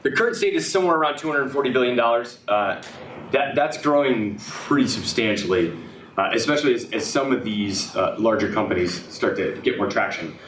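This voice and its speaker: Slightly raspy man pitching his startup. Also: lively intonation, a little fast, a bit bright, a little hiss or hum. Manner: hesitant, many fillers and restarts. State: slightly hoarse. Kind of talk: business pitch presentation. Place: event room with audience. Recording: echoey room, speaker far from mic.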